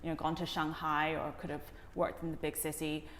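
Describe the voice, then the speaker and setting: Low-voiced woman in an echoey room. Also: level tone, fairly quick, fairly bright tone. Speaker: interview guest, documentary director. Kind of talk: reflective interview answers. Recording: echoey reverberant room with faint noise.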